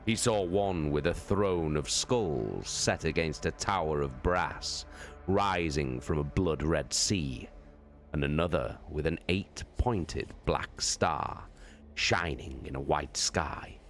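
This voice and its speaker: Very deep-voiced man reading slowly. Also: lively intonation. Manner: slow, deliberate, drawn-out phrasing. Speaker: streamer reading aloud. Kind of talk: dramatic audiobook reading. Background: faint background music.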